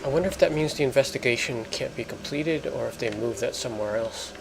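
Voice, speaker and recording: A man giving casual, halting gameplay commentary as a let's player. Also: medium pitch, creaky, noisy background.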